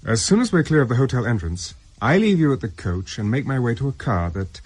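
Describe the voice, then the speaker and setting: A man's voice: mid-range voice, very animated, fairly quick, slightly rough, no room sound. An actor playing a hunted ex-army man in a radio drama, terse and guarded, with street and vehicle noise around him. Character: hunted male ex-army fugitive, guarded, resourceful.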